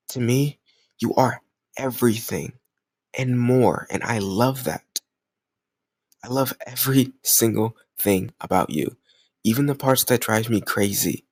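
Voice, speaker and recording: A man's voice: mid-range voice, no room sound. A man playing a jealous, stubborn young lover in an intimate romantic audio roleplay, speaking emotionally and insistently in casual language. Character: jealous, stubborn young male lover confessing his love.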